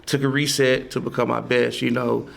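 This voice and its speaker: A man's voice: medium pitch, level tone, moderate pace, creaky. A man in his forties, a playwright guest on a podcast, talking loosely and emphatically with lots of "you know" and easy laughs. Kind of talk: casual conversation.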